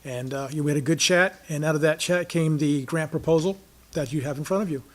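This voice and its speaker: A man on a town commission giving a quick, casual report at a public meeting, with fillers. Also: fairly high pitch.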